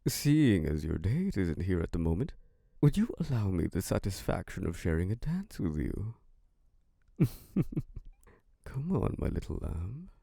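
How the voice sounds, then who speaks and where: A man voicing an ancient, charming and possessive male vampire lord in an ASMR roleplay, speaking slowly and flirtatiously with soft laughs. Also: sing-song, dry recording, studio quality, exaggerated voice. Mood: seductive. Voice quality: deep, gravelly. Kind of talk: ASMR roleplay audio drama.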